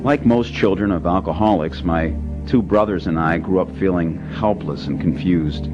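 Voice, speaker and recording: A man in a radio drama narrating his own story in a calm, measured way. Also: sits low, lightly acted. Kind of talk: scripted first-person narration. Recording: lo-fi radio with music under.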